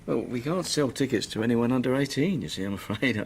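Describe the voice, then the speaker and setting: Actor as patronising travel agent, swooping intonation. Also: male voice, medium pitch, expressive, a little fast, a little mellow, slight background noise, natural voice. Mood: patronising, amused. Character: patronising adult travel agency boss, mild and knowing. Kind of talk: naturalistic audio drama acting.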